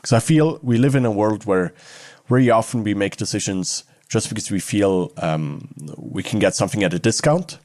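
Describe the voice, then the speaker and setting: A man's voice: lowish voice, normal speed, darkish tone, no room sound, clean studio sound. A man from Switzerland, speaking reflectively as an interview guest.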